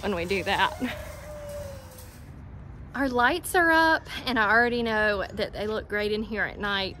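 A woman's voice: on the high side, a bit bright, slight background noise. A woman, chatting upbeat to a handheld phone as she works around her farm and barn. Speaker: homestead vlogger. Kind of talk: casual vlog talking to camera. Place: outdoors on a farm and inside a barn. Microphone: handheld phone at arm's length.

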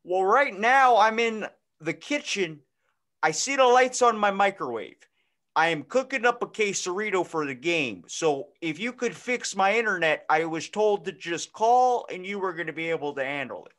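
A man's voice: sits high, animated, normal speed, fairly bright tone. A man voicing a clueless, senile sports-fan dad who rambles in an improvised comedy phone skit. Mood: oblivious, eager. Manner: rambling, oblivious, chatty.

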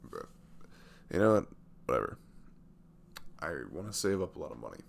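Man acting as deep gravelly young single dad. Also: very animated, slight background noise, exaggerated voice. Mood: candid, slightly sheepish. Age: 20s. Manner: halting, chuckling, conversational. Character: sweet, easygoing single dad in his twenties. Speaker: audio roleplay voice actor. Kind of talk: intimate ASMR roleplay, casual chat.

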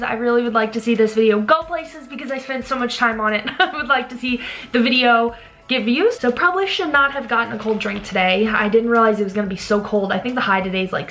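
A young woman in her twenties vlogging casually to her camera at home, a little hoarse from a cold, chatty with lots of "like" and "you guys". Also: a little high, fairly bright tone. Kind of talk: casual vlog chat to camera. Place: living room at home, also in a car. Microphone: camera at arm's length.

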